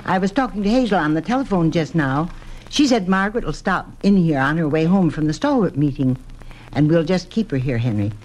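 An older American wife and mother in a vintage radio serial. She fusses and chides fondly, her voice rising and falling in lively swoops. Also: low voice, a little fast, rough recording. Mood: chiding, motherly. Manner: chiding, motherly. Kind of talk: old-time radio drama acting.

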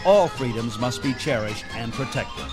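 A man's voice: medium pitch, animated, moderate pace, over music. A man with an American accent, a radio host reading a scripted sign-off and announcement.

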